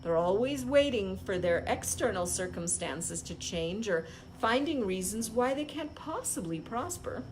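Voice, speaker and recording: A woman reading a self-help book aloud as an impromptu live read-along host, phrasing it in short, deliberate groups. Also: mid-range voice, poor audio.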